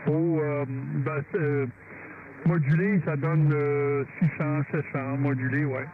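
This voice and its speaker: A man with a Quebec French accent and a slightly high voice talks as a radio operator. His delivery is informal and halting. The sound is very thin and narrow, with clear noise over the transmission. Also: steady tone. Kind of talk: casual radio chat, technical troubleshooting.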